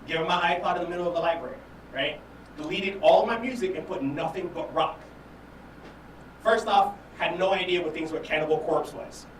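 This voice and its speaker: A young man from Orlando telling stories stand-up style in an animated, slangy, profane way. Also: on the high side, a little fast. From Orlando, Florida, United States. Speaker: stand-up comedian, guest. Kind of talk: stand-up storytelling and casual banter. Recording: echoey room, distant mic, crowd.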